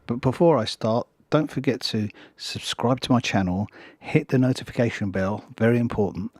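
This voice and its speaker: A hoarse male music producer talking through a screen tutorial step by step, thinking aloud in short half-finished phrases. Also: mid-range voice, normal speed, darkish tone, dry recording, clean studio sound. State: hoarse after a cold. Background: electronic dance music playing.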